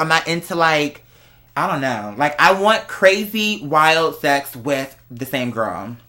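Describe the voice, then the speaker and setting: A man's voice: fairly high pitch, pushed and bright. A young American man talks casually and candidly in a chatty monologue, with a sassy, slangy, emphatic manner and dramatic asides. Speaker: vlogger.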